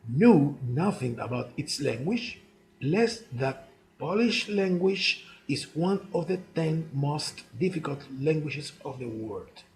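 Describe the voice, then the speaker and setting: Male, 60s, Cuban Spanish accent, slightly higher voice, halting autobiographical monologue. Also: lively intonation, creaky, poor audio. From Cuba. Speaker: chess player narrating own life story.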